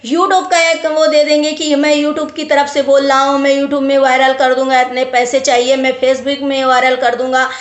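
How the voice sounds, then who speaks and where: High voiced lady vlogger, casual advice chat, says stuff over again to push the point, echoey room. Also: level tone, normal speed, few pauses. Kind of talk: casual advice talk to viewers. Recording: roomy, echoey sound.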